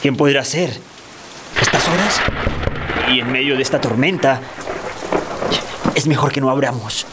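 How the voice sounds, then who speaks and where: An actor playing an anxious, gruff provincial schoolteacher husband in a radio drama, with an emotive delivery. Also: male voice, mid-range voice, a little slow, pauses often, very noisy, close to an everyday voice. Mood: fearful. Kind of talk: radio theatre acting.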